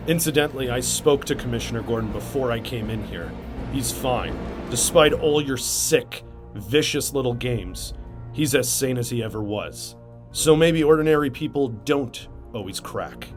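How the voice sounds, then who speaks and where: A man playing a grim, resolute masked vigilante hero in a comic-book drama, speaking in a stern, measured and earnest way. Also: mid-range voice, music in the background, lightly acted. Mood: stern, defiant. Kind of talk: comic-book motion comic acting.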